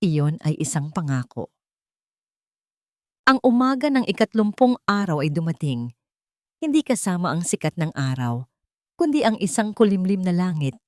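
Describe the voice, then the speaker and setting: A woman's voice: fairly low pitch, a little mellow, no echo, clean studio sound. A woman narrating a scripted dramatic story as a storyteller.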